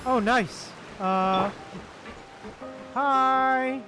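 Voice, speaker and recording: A woman's voice: high voice, very slow, music in the background, low-quality recording. A woman streamer giving casual live gameplay commentary in short reactions, talking back to the game's characters. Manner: reacts in short phrases, talks to the game characters. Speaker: streamer playing a game.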